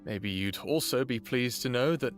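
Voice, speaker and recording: A man's voice: medium pitch, normal speed, soft background music, natural voice. A man acting a lovestruck, earnest human in an audio drama, speaking in a hesitant, tender way. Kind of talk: first-person audio drama acting and narration.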